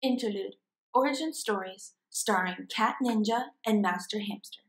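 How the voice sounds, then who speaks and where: A woman's voice: medium pitch, moderate pace, low-quality recording. A woman in her twenties doing a children's read-aloud as a storyteller, recorded indoors at a desk. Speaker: after-school program storyteller.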